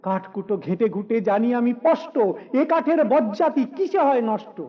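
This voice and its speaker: A man's voice: very high-pitched, moderate pace, dull audio. A man voicing an eccentric, pompous old self-styled scholar, cranky and scornful, in nonsense verse. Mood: boastful. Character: eccentric cranky bald bearded old male pseudo-scholar. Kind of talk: rhymed nonsense poetry recitation.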